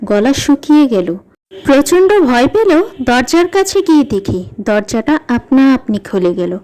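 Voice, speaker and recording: Female horror narrator: high, mellow tone, suspenseful scripted delivery. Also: very animated, moderate pace, poor audio. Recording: music behind the voice. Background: background music.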